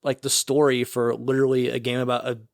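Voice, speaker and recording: A man's voice: medium pitch, level tone, fast talker, gravelly, dry recording, clean studio sound. A man co-hosting a casual podcast, ranting sarcastically and swearing, leaning on "like" as filler. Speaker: podcast co-host. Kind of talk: casual chat.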